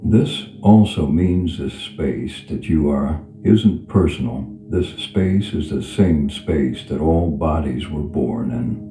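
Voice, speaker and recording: A man guiding a meditation in a soothing, unhurried manner, leaving long pauses between phrases. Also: extremely low pitch, warm tone, slight background noise. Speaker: meditation guide. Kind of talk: guided meditation. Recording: reverberant voice over clear background music. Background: background music.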